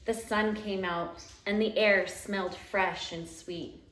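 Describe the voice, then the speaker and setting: A woman reading a children's picture book aloud at home in an expressive, dramatic way, drawing out key phrases. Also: medium pitch, slow pace. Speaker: teacher reading aloud to young pupils. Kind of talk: animated children's storybook read-aloud. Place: living room at home. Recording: roomy, echoey home recording.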